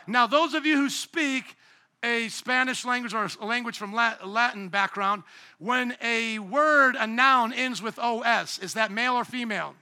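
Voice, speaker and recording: A man pastoring a church congregation, speaking with a strikingly high pitch. His tone is sharp and bright, and he preaches with emphasis and humor, often getting the crowd to echo words back. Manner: emphatic, calls for congregation responses; playful, jokes at himself. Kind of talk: sermon. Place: church sanctuary.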